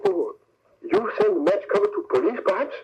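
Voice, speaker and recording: A loyal valet sidekick from an old radio serial, high-voiced and very animated. He speaks in clipped broken English, deferential and full of questions. The recording is muffled, with faint hiss. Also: fairly quick, creaky, husky, full character voice. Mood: curious, deferential. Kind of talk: old-time radio drama acting.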